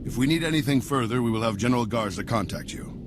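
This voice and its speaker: An actor playing a male senator who asks measured, insinuating questions. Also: medium pitch, animated, background noise, lightly acted.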